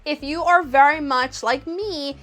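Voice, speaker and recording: A woman's voice: sits high, unhurried, fairly bright tone, soft background music. A young woman, chatting enthusiastically through a casual nail polish review with lots of "like". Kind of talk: casual product review vlog.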